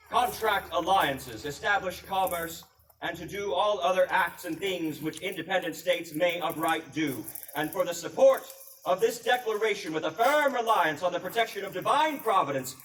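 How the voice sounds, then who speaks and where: Male reenactor as solemn 18th-century statesman, high-pitched voice, declamatory, formal, deliberate phrasing, distant noisy live recording. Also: fairly bright tone. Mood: resolute, proclaiming. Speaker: historical reenactor. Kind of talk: public proclamation, historical reenactment speech.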